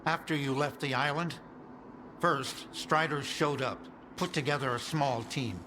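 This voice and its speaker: A man acting an anxious scientist in a video game, giving an earnest briefing. His voice sits at a middling pitch, with clear ambient noise behind it. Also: a little slow, lightly acted. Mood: grave, uneasy. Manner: earnest, explanatory.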